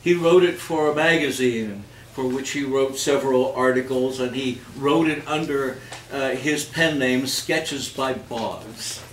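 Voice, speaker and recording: Slow-paced man reading aloud in an echoey room. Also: medium pitch. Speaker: reader and host of a holiday readers theater. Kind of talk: formal literary reading aloud to an audience. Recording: echoey room, distant mic, faint noise.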